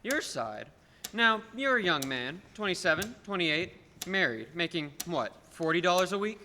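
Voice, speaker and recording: Casting: condescending, domineering businessman acted by a young man, highish forceful voice, radio-play delivery. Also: big pitch swings, exaggerated voice. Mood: condescending, persuasive. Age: young adult. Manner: patronizing, persuasive, deliberate emphasis. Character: scheming rich businessman, condescending, smooth-talking and domineering. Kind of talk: filmed radio play acting. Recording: echoey hall, background noise.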